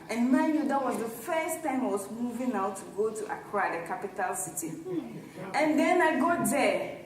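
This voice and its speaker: High-voiced woman speaker in an echoey hall. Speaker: conference speaker, organization founder. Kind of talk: rehearsed motivational stage talk. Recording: very echoey hall, distant mic, faint noise.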